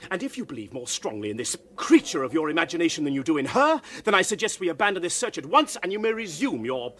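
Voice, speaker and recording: An actor playing a rational, commanding English gentleman in a period radio drama, formal, articulate and emphatic. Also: fairly high pitch, sing-song, quick pace, a little hiss or hum. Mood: stern, exasperated.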